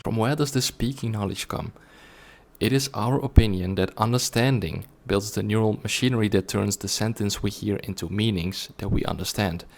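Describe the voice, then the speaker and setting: A man's voice: fairly low pitch, moderate pace, gravelly, a little mellow. A man presenting an explanatory monologue to viewers in an earnest, didactic way. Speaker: language learning video presenter.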